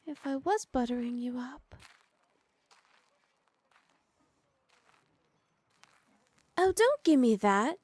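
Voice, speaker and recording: High-voiced sweet, teasing young wife acted by a woman. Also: a little mellow, dry recording, natural voice. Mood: playful coaxing. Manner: sweet, coaxing, playfully scolding. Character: doting, teasing, pregnant young noblewoman wife. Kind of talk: intimate ASMR roleplay.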